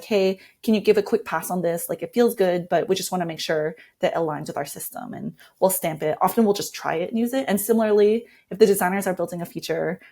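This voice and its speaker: A woman's voice: fairly low pitch, mellow. A woman speaking as an interview guest, explaining and walking through tips in a conversational way. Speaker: interview guest, design lead.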